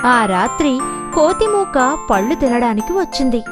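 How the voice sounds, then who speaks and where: A woman's voice: high voice, sing-song, a little slow, music in the background. A woman narrating a scripted children's story as a storyteller.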